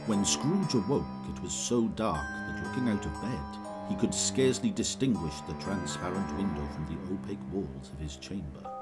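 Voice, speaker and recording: A man narrating a classic story in a measured, expressive reading. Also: sits low, over loud music.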